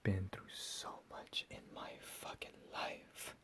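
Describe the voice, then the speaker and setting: A man playing a deranged, menacing captor in a whispered ASMR roleplay, profane and slow with drawn-out emphasis. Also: fairly bright tone. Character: deranged menacing male captor, recently escaped asylum.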